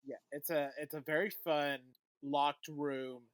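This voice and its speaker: A podcast co-host leading a casual chat about TV episodes, relaxed and conversational, often trailing off quietly. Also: medium pitch, measured pace, clean tone, no echo.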